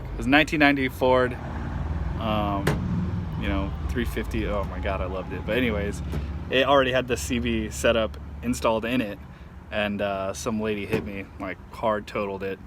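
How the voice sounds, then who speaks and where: A man giving an informal, halting how-to demonstration, thinking aloud as he goes. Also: on the low side. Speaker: amateur truck owner showing a DIY install. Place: outdoors at a truck. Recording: handheld outdoor recording with clear background noise.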